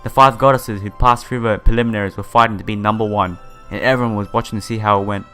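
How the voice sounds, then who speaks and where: A man's voice: lowish voice, steady tone, quick pace. A man hosting a casual let's-play, reading game text aloud and adding informal asides. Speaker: gaming video host. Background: soft game music.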